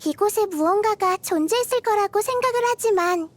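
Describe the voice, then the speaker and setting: A woman voicing a tiny, childlike goddess of wisdom in a video game, cute and girlish, gentle, curious and thoughtful, musing softly. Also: extremely high pitch, fairly quick, dry recording. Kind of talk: video game character voice lines.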